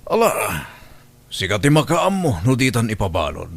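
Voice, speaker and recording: A man's voice: medium pitch, sing-song, moderate pace, creaky, no echo. A man playing a stern, emphatic father in a radio drama, with an Ilocano Filipino accent. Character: stern father of a household wronged by theft.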